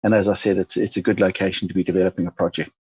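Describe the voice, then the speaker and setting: A man with a Zimbabwean accent, an executive answering interview questions in a measured way, with many fillers and restarts. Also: deep voice, level tone, darkish tone, slightly rough, dry recording, muffled recording. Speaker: mining company chief executive. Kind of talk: business interview.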